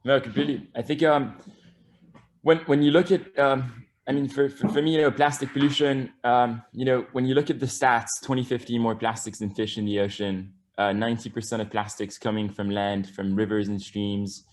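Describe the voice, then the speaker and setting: A man's voice: medium pitch, steady tone, normal speed. A man in his twenties from Bali, talking eagerly as an interview guest, with many ums and restarts. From Bali, Indonesia. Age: 20s. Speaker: guest environmentalist. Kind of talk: casual interview talk.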